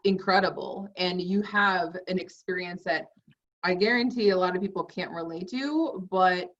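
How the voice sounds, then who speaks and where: Lower-voiced woman host, distant and muffled. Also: moderate pace. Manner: encouraging, rambling questions with many fillers. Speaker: podcast host. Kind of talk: casual interview.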